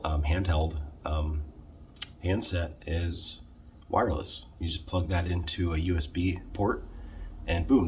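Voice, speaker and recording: A man talking casually through a how-to vlog in a halting, conversational way, often correcting himself. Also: deep voice, noisy background. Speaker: video creator showing a car radio install.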